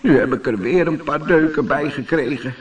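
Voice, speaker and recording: A man's voice: a little high, moderate pace, recorded from a distance, pitched above the performer's own voice, lightly acted. A man voicing a battered, lost space rocket with a plaintive manner, in a children's storybook. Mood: plaintive, pained. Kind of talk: children's audiobook character voice.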